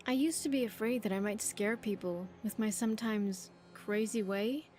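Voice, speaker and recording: A woman's voice: medium pitch, moderate pace, darkish tone, natural voice. A woman voicing an earnest, grateful young survivor in a video game, chatty and sincere with slightly stilted phrasing. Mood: earnest, hopeful. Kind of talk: video game character dialogue.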